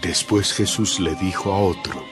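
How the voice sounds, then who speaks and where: A man's voice: sits low, a little slow. A man narrating a dramatized scripture reading in a scripted style. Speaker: Bible narrator. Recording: loud music under the voice. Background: music.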